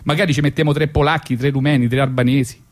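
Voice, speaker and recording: A smug boss, as a male storyteller puts him on in a satirical monologue. He is falsely sorry and self-justifying, with oily politeness and a pitch that often climbs above a middle register. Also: steady tone, fast, darkish tone, close to an everyday voice. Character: smug, falsely regretful Italian boss firing a worker.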